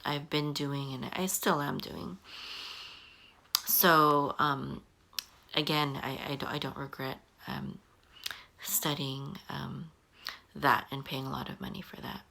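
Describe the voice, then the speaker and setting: A woman in her early forties giving casual advice to camera, halting often with ums and restarting her sentences. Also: a bass voice, steady tone, creaky, pushed and bright. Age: 40s. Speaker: online business coach. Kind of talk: casual talking-head advice.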